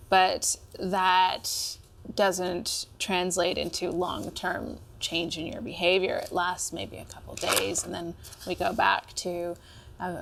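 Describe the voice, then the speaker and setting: A woman's voice: lowish voice, creaky, a bit bright, slight background noise, heavily acted. A woman playing a candid, frustrated wife in a couples therapy dialogue, speaking in an articulate, pointed way with some hesitations. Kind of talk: role-played therapy session dialogue.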